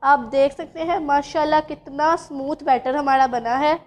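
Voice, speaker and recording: High-voiced woman teaching a home recipe. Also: fairly even intonation, normal speed. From Pakistan. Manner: patient, instructive, addresses viewers directly. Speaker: cooking channel host. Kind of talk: step-by-step recipe narration.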